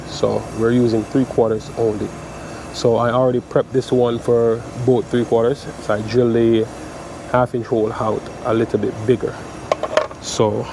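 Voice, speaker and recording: A man in his thirties with a slightly stuffy, congested voice walks through his work in a relaxed, step-by-step way, dropping "so" and "guys" often. He talks into a camera strapped to his chest outdoors, with clear outdoor noise, traffic and air conditioning hum behind him. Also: medium pitch. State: stuffy from a cold. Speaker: electrician vlogger. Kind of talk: casual how-to vlog. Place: outdoors on a sunny waterfront dock. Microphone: chest-mounted action camera.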